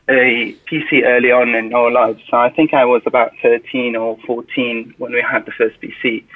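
A young man answers interview questions in a soft, thoughtful way, now and then restarting a phrase. His voice sits at a middle pitch for a man. It comes through thin and tinny, like a phone call. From Syria. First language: Arabic. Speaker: podcast guest. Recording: tinny phone-line sound.

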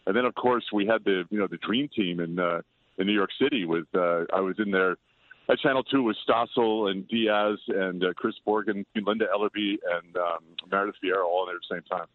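An older man chatting as an interview guest, loud-voiced, rambling and self-deprecating. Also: low voice, a little fast, a little hiss or hum. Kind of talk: casual interview chat. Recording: tinny dry phone line.

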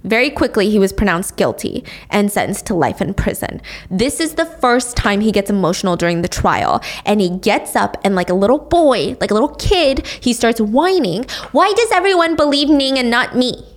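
A young woman hosting a podcast, telling a true-crime story in a casual, animated way and acting out the quotes. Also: medium pitch, moderate pace, hardly any pauses. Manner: chatty, animated, acts out quotes with shifts in tone. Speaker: podcast host.

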